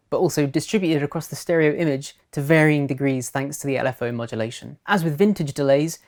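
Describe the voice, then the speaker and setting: Low-pitched woman narrating a tutorial. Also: a little mellow. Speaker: tutorial presenter.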